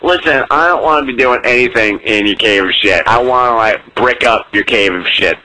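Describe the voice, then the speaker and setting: A man's voice: mid-range voice, moderate pace, bright, forceful tone, no echo, low-quality recording. A drunk man rambling in a campy, comic way as he leaves a casual voicemail over the phone. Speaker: listener phoning in a voicemail.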